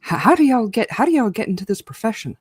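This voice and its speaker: A man's voice: high voice, sing-song, fast talker, slightly rough, no echo. A man co-hosting a casual live show, joking around and stammering repeated words. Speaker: co-host.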